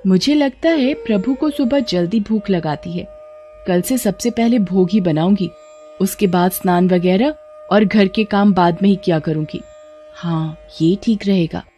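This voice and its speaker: A woman's voice: medium pitch, unhurried, warm tone, soft background music, natural voice. A woman playing an innocent, stubborn, devout young village girl in a devotional story, earnest and simple-hearted. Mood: content. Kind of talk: Hindi devotional story acting.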